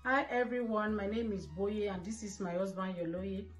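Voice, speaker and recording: A woman's voice: medium pitch, moderate pace, faint music behind. A woman from Nigeria giving a cheerful, friendly introduction. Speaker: healthcare worker. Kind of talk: personal introduction. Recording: distant dull lo-fi recording.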